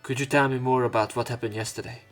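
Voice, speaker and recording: A man's voice: medium pitch, steady tone, fast. A man hosting a let's play, reading a visual novel aloud with casual commentary, often stumbling over words and correcting himself. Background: game music behind the voice.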